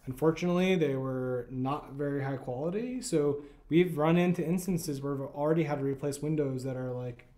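A man in his thirties, a guest homeowner, talking in an easygoing, relaxed way in a historic parlor. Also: on the high side, brisk, few pauses. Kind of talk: casual chat. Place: historic house parlor, indoors.

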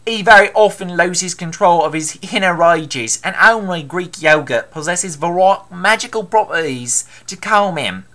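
A loyal, pompous royal cook from a storybook , acted by a woman. He declaims formally in a forceful, raised voice with animated pitch. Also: a little slow, hardly any pauses.

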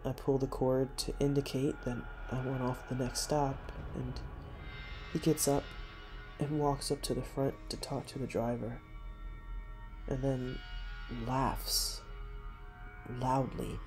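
A narrator reading a first-person true story in a measured way, pausing between short phrases. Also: medium pitch, level tone, over music.

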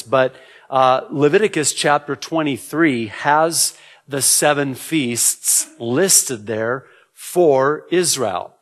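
A middle-aged man, a native Arabic speaker, preaching as a pastor in a measured, explanatory way, repeating key words deliberately. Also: medium pitch. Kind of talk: sermon, Bible prophecy teaching. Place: indoor church, at a lectern. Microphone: gooseneck lectern microphone.

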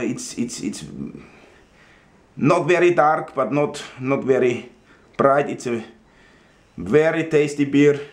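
A young man, speaking English as an in a halting, mock-serious way as he presents a ranking informally. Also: fairly high pitch. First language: not English. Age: young adult. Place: small indoor room at home.

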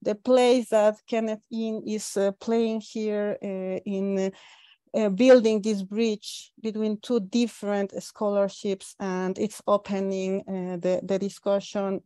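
Female scholar, Spanish accent, mellow dry sound, enthusiastic but halting delivery with repeated words. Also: medium pitch, level tone, smooth, no room sound. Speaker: academic discussant. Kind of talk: informal spoken academic commentary. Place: living room at home. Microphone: earphone mic on video call.

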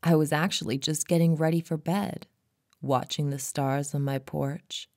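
Woman acting as seductive female hypnotist, hypnosis roleplay, dark mellow tone, very dry close sound, soothing and coaxing. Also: sits low, steady tone, moderate pace, dry recording. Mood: friendly, coaxing. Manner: soothing, coaxing, often breathy near-whisper. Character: seductive female hypnotist luring a lost traveler. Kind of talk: hypnosis roleplay, ASMR-like intimate delivery.

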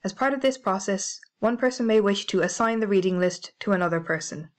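A woman with a British accent narrating a library software tutorial, clear and methodical, spelling out codes letter by letter. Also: medium pitch, a little fast. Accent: British English. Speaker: library staff trainer. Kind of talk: instructional screencast narration.

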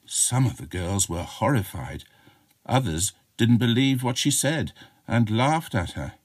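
A man's voice: lowish voice, big pitch swings, measured pace, slightly rough, dry recording. A man narrating a short chiller as an audiobook reader, in a dramatic storytelling style.

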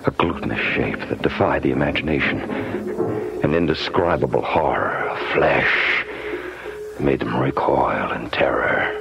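A man narrating a radio horror drama in an ominous, theatrical and deliberate manner. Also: very deep voice, gravelly. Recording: muffled 1970s radio broadcast with loud music.

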